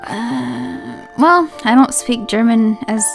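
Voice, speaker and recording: A woman's voice: on the high side, slow pace, vocal fry, soft background music. A woman reading a visual novel aloud as a let's play host, breaking off into chatty asides and playful self-corrections. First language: English.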